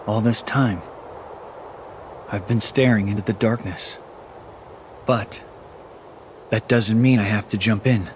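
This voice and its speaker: An earnest young game hero. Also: male voice, fairly low pitch, fairly even intonation, slow, long pauses, a little hiss or hum. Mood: reflective. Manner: earnest, restrained. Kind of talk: video game voice acting.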